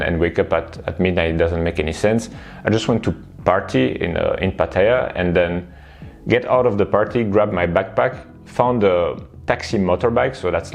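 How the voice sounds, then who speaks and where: Low-voiced man chatting casually. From Belgium. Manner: relaxed, chatty, many fillers and tag questions. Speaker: vlogger and online coach. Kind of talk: casual talk to camera.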